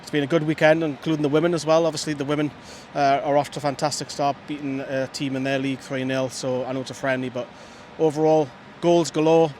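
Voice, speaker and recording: A man giving measured, opinionated football views outdoors. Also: fairly high pitch, level tone, a little mellow. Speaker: fan pundit. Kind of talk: casual football chat. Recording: outdoor street noise.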